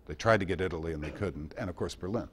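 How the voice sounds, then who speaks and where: A man's voice: sits low, quick pace, a bit bright, a little hiss or hum. A man giving an informal history talk at a club meeting, rambling conversationally through anecdotes with wry asides.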